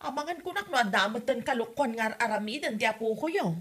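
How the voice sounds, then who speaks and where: A woman acting as a nagging middle-aged mother with a bright, piercing, fairly high voice. She has an Ilocano Filipino accent and scolds and questions like a suspicious parent in a radio comedy drama. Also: lively intonation, fast, husky. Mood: suspicious, complaining.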